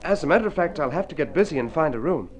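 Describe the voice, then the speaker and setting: Male actor as naive country youth, earnest, courteous, fairly high lively voice, vintage radio drama. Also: very fast, faint music behind, close to an everyday voice. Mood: eager, polite. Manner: polite, earnest. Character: earnest, polite young country painter new to town.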